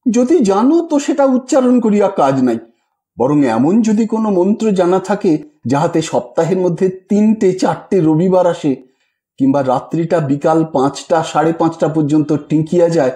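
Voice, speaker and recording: Man voicing a high-pitched troubled young husband. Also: lively intonation, moderate pace, mellow. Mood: playful, coaxing. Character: troubled young husband, tender then shaken.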